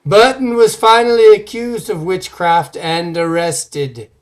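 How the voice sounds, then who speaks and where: An actor playing a grave, formal man who recounts a dark legend with deliberate drama, in old-time radio horror style. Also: high-pitched, steady tone. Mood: grave, dramatic.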